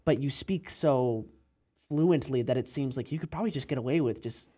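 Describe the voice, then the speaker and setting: A man's voice: medium pitch, a little fast, warm tone, breathy edge. A man hosting a casual podcast interview, asking long rambling questions and laughing often. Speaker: interview host.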